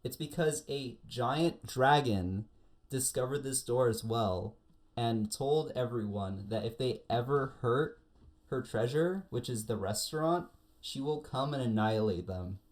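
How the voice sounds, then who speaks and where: A man co-hosting a casual podcast about anime, telling stories in an animated way. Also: medium pitch, unhurried. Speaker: podcast co-host.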